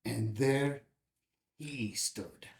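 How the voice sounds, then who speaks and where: Male actor as solemn townsman, unhurried, heavy vocal fry, wistful, weighty, table read drama. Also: mid-range voice. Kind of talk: filmed screenplay table read.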